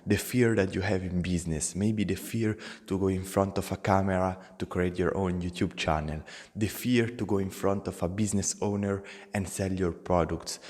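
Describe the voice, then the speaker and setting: A man's voice: deep voice, fairly even intonation, moderate pace, darkish tone, in a large hall. A young man with an Italian accent, talking earnestly to camera as a motivational channel host, in simple non-native phrasing.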